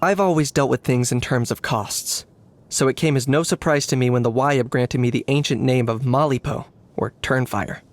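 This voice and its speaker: An actor playing an aloof young male hunter in a video game, with a measured, deadpan delivery full of dry understatement. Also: mid-range voice, lightly acted. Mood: dry, pragmatic. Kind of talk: scripted video game character voice lines. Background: background music.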